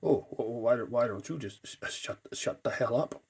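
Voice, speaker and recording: A man's voice: mid-range voice, moderate pace, vocal fry, husky. A man voicing a blunt, boastful demon samurai creature in an improvised toy skit. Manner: blunt, brushes off questions.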